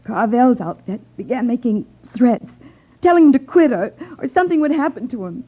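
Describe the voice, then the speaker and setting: An actress playing an indignant, bitter widow with impassioned 1950s radio acting. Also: female voice, on the high side, lively intonation, normal speed. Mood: bitter, pleading. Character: bitter, defiant widow accusing gangsters. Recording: dull 1950s radio recording.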